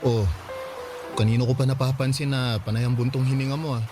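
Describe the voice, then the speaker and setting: Male actor, devoted young boyfriend, mid pitch, earnest, reassuring, radio drama, clear music bed. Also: little pitch movement, a little fast, lightly acted. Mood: concerned. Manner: tender, reassuring.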